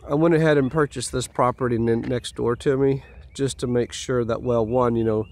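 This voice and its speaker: A man's voice: mid-range voice, fairly even intonation, moderate pace, darkish tone. A man with a stuffy head cold, casually walking viewers around his house build outdoors, easygoing and rambling. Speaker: homeowner vlogger. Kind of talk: casual site walkthrough.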